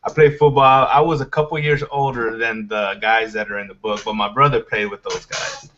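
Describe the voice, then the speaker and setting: A man's voice: on the low side, fairly even intonation, normal speed, sharp tone, a little hiss or hum. A man in his forties from Texas, a guest on a casual video call, who explains technical steps plainly. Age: 40s. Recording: dull lo-fi video call audio.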